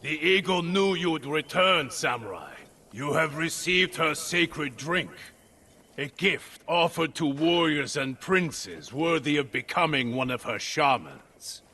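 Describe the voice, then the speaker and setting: A man's voice: on the high side, animated, slow pace, pushed and bright, slight background noise, heavily acted. An actor playing a zealous, menacing warrior shaman in a video game, with solemn, threatening delivery. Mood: solemn threat. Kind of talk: video game villain acting.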